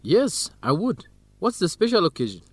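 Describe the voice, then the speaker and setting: An actor playing an easygoing, good-humoured young field researcher, speaking casually in an educational radio drama. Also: male voice, high-pitched, big pitch swings, moderate pace. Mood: curious.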